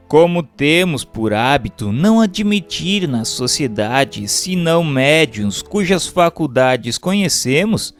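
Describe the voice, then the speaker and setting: Expressive Brazilian male narrator over music. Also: on the high side. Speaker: narrator reading a book aloud. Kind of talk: formal scripted narration, audiobook-like.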